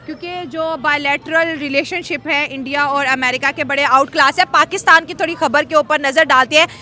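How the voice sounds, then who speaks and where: Woman, high pitch, bright forceful tone, news commentator. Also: fairly even intonation, brisk.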